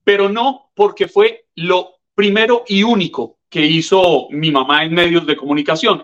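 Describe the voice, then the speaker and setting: A Colombian man in his fifties, a journalist answering interview questions in a measured, articulate way. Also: fairly high pitch, a bit bright, lo-fi sound. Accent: Colombian, from Tolima. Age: 50s. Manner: articulate, measured, formal address. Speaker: guest journalist.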